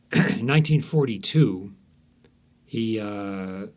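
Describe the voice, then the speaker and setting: A man speaking as an author guest in an interview, telling stories in a halting, emphatic way that repeats phrases. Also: fairly low pitch, lively intonation, darkish tone. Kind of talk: conversational storytelling interview.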